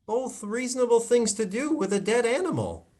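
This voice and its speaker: Casting: man as concerned adult son, earnest and coaxing yet exasperated, with swooping, lively intonation. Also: very high voice, moderate pace. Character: concerned, exasperated grown son confronting his mother. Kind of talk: live play reading over video call. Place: indoors, on a video call.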